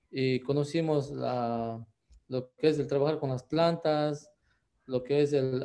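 Mellow, slow-talking man. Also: a little high. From Ecuador, Andean highlands. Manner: unhurried and hesitant, with many drawn-out "ah" and "eh" fillers. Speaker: shaman guest. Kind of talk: conversational interview answers.

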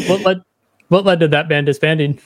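A man's voice: fairly high pitch, no room sound, studio quality. A man hosting a podcast, asking casual interview questions in a conversational way.

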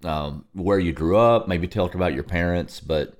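A man's voice: low-pitched, normal speed. A man in his forties hosting an interview, asking curious follow-up questions with a light laugh now and then. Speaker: interview host. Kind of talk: casual interview conversation.

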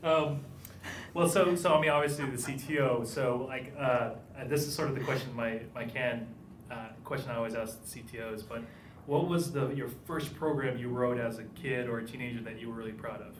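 A man's voice: mid-range voice, steady tone, a little fast, slight background noise. A man interviewing a guest in a casual, hesitant way, full of ums and restarts. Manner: rambling, hesitant, laughing often. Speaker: interviewer, event director. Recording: echoey room picked up from a distance.

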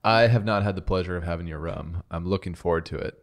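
A man's voice: low voice, quick pace. A man co-hosting a casual interview, jumping in with short, eager reactions. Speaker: podcast co-host.

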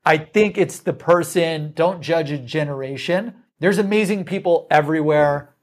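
A man in his thirties, a sales executive guest, talking in an energetic, enthusiastic way in a studio. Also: fairly high pitch. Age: 30s. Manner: energetic, enthusiastic, rapid lists. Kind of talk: casual interview answers.

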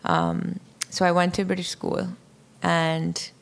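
Young woman from Pakistan, chef guest; frank, plain, heavy vocal fry. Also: on the low side, very animated, a little slow. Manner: candid, matter-of-fact, filler-heavy. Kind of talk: podcast interview conversation.

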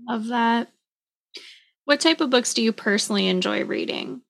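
Higher-pitched woman interviewer. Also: moderate pace, creaky, fairly bright tone. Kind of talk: short prepared interview questions.

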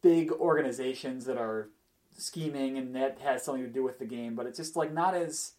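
A man's voice: mid-range voice, a little fast, darkish tone. A man in a casual podcast chat about games, explaining things in a hesitant, rambling way full of filler words. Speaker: podcast panelist discussing video games.

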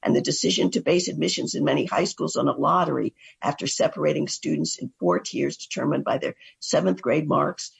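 A woman hosting a radio talk show and reading an education news recap, hoarse from a cold. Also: deep voice, a little fast, few pauses. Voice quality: gravelly and husky.

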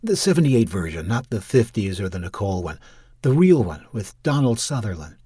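A weary young woman, voiced by a male narrator, glum and resigned in an audiobook tale. The pitch lifts and swings around, with a rough husky edge and very dry, close sound. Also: very animated, brisk, mellow, no echo. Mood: tired, coaxing. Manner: tired, resigned, unconvincing sweet talk.

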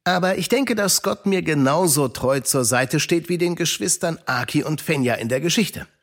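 Wise little companion, lively highish husky voice, reassuring, children's radio play. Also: male voice, moderate pace. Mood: reassuring, earnest. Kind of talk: children's audio drama acting.

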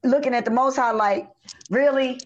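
A middle-aged woman singer in a casual interview, a bit hoarse, telling stories in an animated way with many fillers and restarts. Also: sits high, normal speed, a crowd in the background. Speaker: singer guest. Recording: dull lo-fi audio.

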